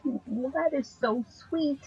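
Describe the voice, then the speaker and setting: A woman's voice: high voice, takes it slowly, vocal fry, mellow, muffled recording, heavily acted. A woman playing an earnest, tender magic-user in an audio drama, speaking in a hesitant way.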